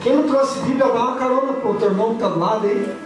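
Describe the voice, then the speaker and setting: A hoarse man with a Brazilian accent who has a cold, preaching to young people at church in a casual, slangy way. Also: very high-pitched, fast talker, music in the background. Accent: Brazilian Portuguese. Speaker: youth preacher. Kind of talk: youth sermon. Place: church hall. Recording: echoey church from far off.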